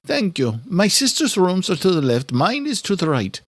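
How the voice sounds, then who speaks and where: A man's voice: sits high, huge pitch swings, normal speed, dry recording, clean studio sound, voice pushed up, heavily acted. A man voicing a refined, commanding married duchess with a proper, ladylike manner in an audiobook thriller. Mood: polite, welcoming.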